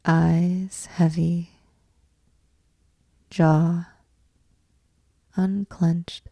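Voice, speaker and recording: A woman leads a guided meditation with a low-key, even delivery that barely moves in pitch. Her voice is soft-edged and mellow, with a creaky fry in it. She gives each instruction deliberately and leaves space after it. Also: no echo. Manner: measured, deliberate phrasing with long pauses. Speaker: yoga nidra teacher guiding a meditation.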